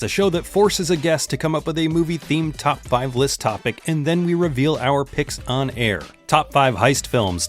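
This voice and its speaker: A man reading a scripted promo as a podcast host. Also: mid-range voice.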